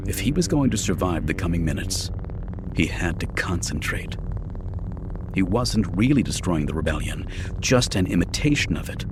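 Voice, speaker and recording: Low-voiced man narrating a dramatic audiobook. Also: noisy background. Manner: measured, serious, polished reading. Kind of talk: dramatic scripted narration of science fiction.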